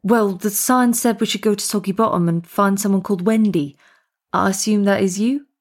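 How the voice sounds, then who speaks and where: Woman as an awkward young male student, husky. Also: mid-range voice, fairly even intonation, normal speed, lightly acted. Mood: sheepish. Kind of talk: acted storybook dialogue.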